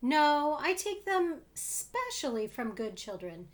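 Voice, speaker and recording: A woman's voice: sits high, big pitch swings, slow, pitched above the performer's own voice, exaggerated voice. A woman voicing an odd, greedy storybook creature, fierce and gleeful, boastful and smug in the way it insists.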